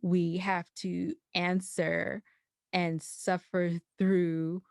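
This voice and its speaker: Deliberate woman in dry podcast conversation. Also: fairly low pitch, little pitch movement, vocal fry, no room sound. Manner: deliberate, explanatory, builds points in short phrases. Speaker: podcast guest.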